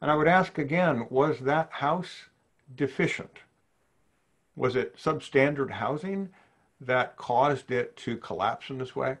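An older man from New Orleans, a psychiatrist giving a measured webinar lecture to physicians over slides, often posing questions to his listeners, heard through a webcam in his home study. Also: medium pitch, expressive, fairly bright tone. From New Orleans, USA. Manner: measured, thoughtful, poses questions to the audience. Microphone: video call webcam.

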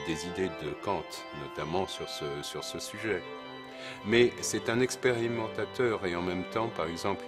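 A man speaking as an astrophysicist, giving an unscripted educational explanation in a didactic way, searching for his words as he goes. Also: low-pitched.

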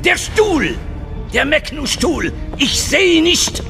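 High, creaky, panicky alien viceroy. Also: male voice, very animated, takes it slowly, music in the background, full character voice. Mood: panicked. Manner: agitated, haughty, exclaiming. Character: panicky, imperious male alien viceroy, cowardly and irritable. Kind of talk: audio drama acting.

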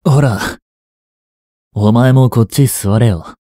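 A man in his twenties playing a reluctant, guilt-ridden young hitman in an audio drama, softly spoken and halting. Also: mid-range voice, no room sound, studio quality, natural voice. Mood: feigned casual. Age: 20s. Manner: halting, subdued delivery. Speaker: voice actor. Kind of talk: Japanese audio drama monologue and dialogue.

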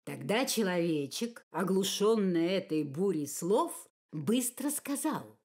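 A woman reading a fairy-tale audiobook as narrator, in an expressive, measured storytelling manner. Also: medium pitch.

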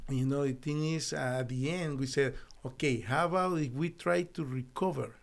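A middle-aged man from El Salvador with a Spanish accent, telling his story as an interview guest in halting, earnest English in a small studio on a desk mic. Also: mid-range voice, creaky. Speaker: guest, naturopathic doctor. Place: small studio with foam wall panels. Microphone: desk podcast mic.

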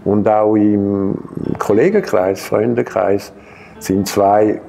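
A man in his sixties from Geneva with a French-speaking Swiss accent, French his first language, telling his own story to camera in a halting way with many hesitations and restarts. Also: lowish voice, steady tone, gravelly, mellow, soft background music. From Geneva, Switzerland. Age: 60s. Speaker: patient sharing his experience. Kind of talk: personal account to camera. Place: bright studio room, indoors.

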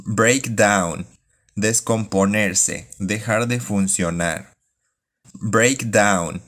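A man who speaks as his teaches English phrases, reading each one slowly and then word by word. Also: fairly low pitch, fairly bright tone. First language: Spanish. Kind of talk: bilingual language lesson, slow drilled repetition.

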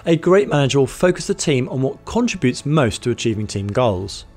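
A British man in his thirties delivers a scripted training talk to camera, his voice lively and rising and falling. He speaks close to a studio mic in a measured, instructive way. Soft music plays beneath him. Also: medium pitch. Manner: measured, instructive, lists points in order. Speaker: management trainer. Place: studio with plain grey backdrop. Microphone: studio mic on boom arm in front.

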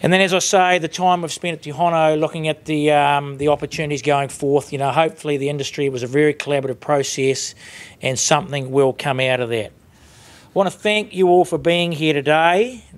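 A man's voice: on the high side, steady tone, fairly quick. A man in his fifties with a New Zealand accent gives an informal, conversational and rambling closing speech at a podium as an industry leader. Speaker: industry leader giving closing conference address. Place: conference room with seated audience, indoors. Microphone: lectern microphones.

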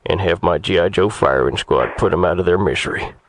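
Man putting on a gravelly, indignant older crank. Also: low-pitched, fairly quick, crowd noise. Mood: outraged. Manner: hesitant, stammering, then ranting. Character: indignant older male crank customer, prudish yet crude. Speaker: prank caller on a radio show. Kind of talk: comedy prank call.

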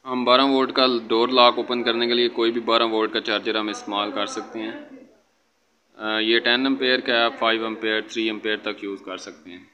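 A muffled, lo-fi recording with faint noise behind it. A man talks in a fairly low voice, walking through a practical tutorial step by step in an informal way. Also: level tone, a little fast, pushed and bright. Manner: informal step-by-step, repeatedly checking with "okay". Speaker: electrician presenter. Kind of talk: hands-on tutorial explanation. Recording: dull lo-fi phone audio, background noise.

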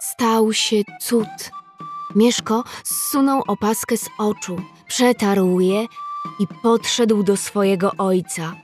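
Female narrator, mid-pitched, scripted storytelling for historical audio drama, faint music underneath. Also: steady tone, slow pace.

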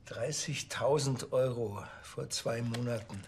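A man's voice: mid-range voice, slow, background noise. A man, playing a sardonic, laid-back village cop in a TV crime comedy who makes dry, mocking remarks.

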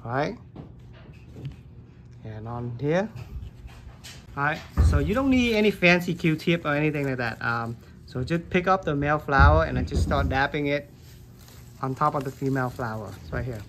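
A man with a slightly high voice gives a relaxed gardening walkthrough, chatting loosely as he goes. He films with a handheld phone in a basement grow room, with a faint hum behind him. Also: normal speed, long pauses. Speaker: gardening vlogger. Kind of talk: casual how-to tour. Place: basement grow room with plants under grow lights. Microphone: handheld phone camera, filming his hands.

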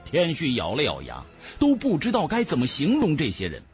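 A man's voice: a little high, wildly swooping pitch, a little fast, soft background music. A man narrating a fantasy novel as a scripted audiobook, with emphatic, dramatic storytelling.

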